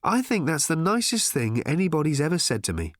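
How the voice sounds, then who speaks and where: A man's voice: on the high side, very animated, brisk, no echo, studio quality, pitched above the performer's own voice. A man voicing a mild, exasperated, awkwardly polite everyman in an audiobook, hesitant and inclined to ramble. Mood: touched. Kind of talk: audiobook character voice.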